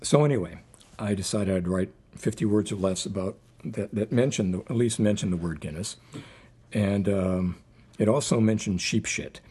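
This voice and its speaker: A man speaking informally as a poet at a live reading, rambling and self-deprecating, with a husky voice. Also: on the low side, moderate pace, dark tone. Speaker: poet introducing and reading own poem. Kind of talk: informal live poetry reading introduction with anecdotes.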